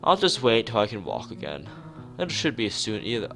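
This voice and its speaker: A detached teenage girl artist from a visual novel, as a male reader puts her on. She is literal, flat and noncommittal, in a fairly low voice. Music plays clearly underneath. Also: normal speed, heavily acted. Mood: listless, vague. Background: guitar music.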